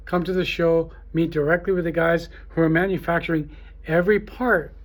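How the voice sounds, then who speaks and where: Higher-voiced man giving a halting sales pitch. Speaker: trade show host promoting his event. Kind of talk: informal unscripted promotional talk to camera.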